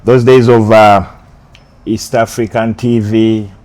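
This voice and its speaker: Male interview host, slightly low voice; energetic opener, then relaxed, brief affirmations. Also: slow pace. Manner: lively host intro, otherwise brief affirmations. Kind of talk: casual interview hosting.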